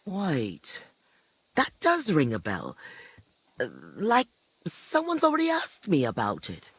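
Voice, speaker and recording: A woman's voice: fairly low pitch, huge pitch swings, takes it slowly, slightly rough, heavily acted, low-quality recording. An actress playing a chatty, kindly old village granny in a video game, rambling and hesitating as she talks. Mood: nostalgic, animated. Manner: rambling, hesitant recollection with frequent second thoughts. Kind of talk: video game dialogue acting.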